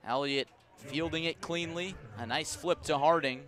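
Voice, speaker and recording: A man calling live baseball play-by-play as a radio announcer, measured between pitches and lifting with the action. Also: a bit bright. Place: outdoor ballpark broadcast.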